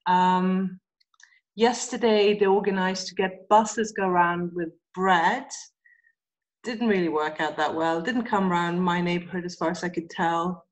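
A woman from Sweden, a guest in a casual chat over a remote call, speaking in a relaxed way with soft laughs and hesitant ums. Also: on the low side, measured pace, rough recording.

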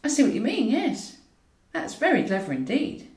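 A woman's voice: high-pitched, wildly swooping pitch, moderate pace, darkish tone, voice pushed up. Woman acting a tactful bluffing female agent, echoey. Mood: flattering, bluffing. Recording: roomy echo, distant from mic.

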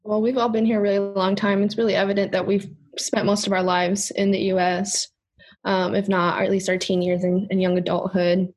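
A young woman hosting a podcast, talking earnestly and steering the conversation. Her voice is husky at an everyday pitch. She sounds expressive and engaged. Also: a little fast. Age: young adult. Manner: earnest, leads the topic, expressive. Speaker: podcast host. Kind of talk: casual chat.

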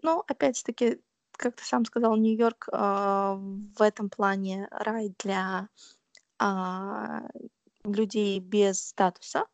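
A young woman speaks in a relaxed interview, hesitating often and filling pauses as she thinks. Her voice has a gravelly, creaky edge. The recording is dry and close. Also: mid-range voice, dry recording. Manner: thoughtful, hesitant, many fillers. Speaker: interview guest. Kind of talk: casual conversational interview.

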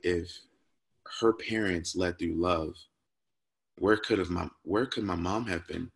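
A man's voice: deep voice, measured pace, vocal fry, breathy edge, no echo. A man telling a personal story in an interview, earnest and reflective, growing emotional and repeating words when moved. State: emotional, near tears. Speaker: guest speaker.

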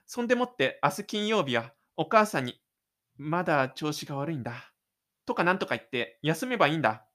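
A narrator voicing a stubborn, lonely high school boy musician in excited, rambling self-talk for an audio drama. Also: fairly quick. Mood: excited, scheming. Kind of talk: audio drama inner monologue.

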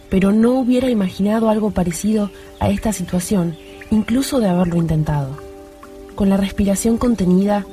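A woman giving a calm, measured scripted reading of a book passage. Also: mid-range voice. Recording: reading over clear background music.

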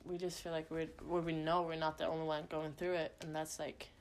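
A woman's voice: deep voice, flat delivery, brisk. A young woman from Sweden co-hosting a podcast, chatting casually with lots of fillers and a frank, swearing manner. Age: young adult. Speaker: podcast co-host. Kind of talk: casual chat.

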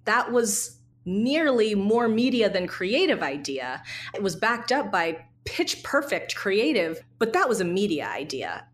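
A woman in her thirties speaking as an interview guest, candid and reflective, telling stories in a lively way. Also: medium pitch. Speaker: guest, associate creative director. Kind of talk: casual interview chat.

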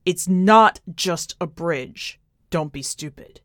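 A woman's voice: on the low side, slow, a bit bright, no echo, clean studio sound. A woman voicing an anxious, angry middle-aged man, urgent and pleading, in an audio story. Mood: stern.

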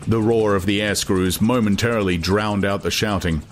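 A man's voice: on the low side, fairly even intonation, moderate pace. A man reading literary fiction as a scripted, dramatic audiobook narration, with noise behind him.

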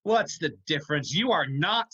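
A man's voice: a little high, animated, normal speed, sharp tone, slightly rough, lo-fi sound, heavily acted. A man playing an insistent, accusing lawyer turned amateur detective in a hardboiled vintage-style radio crime drama. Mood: insistent, exasperated.

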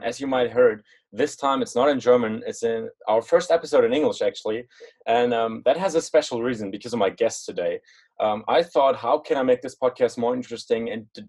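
A man's voice: mid-range voice, darkish tone, breathy edge. Man, young adult, podcast host, casual, rambling questions, restarts, um. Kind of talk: casual interview hosting.

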